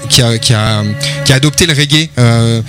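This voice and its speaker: Male radio host, fumbling long questions, mid pitch, music clearly under him. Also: normal speed. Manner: stumbling, many fillers and restarts while asking long questions. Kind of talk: radio interview.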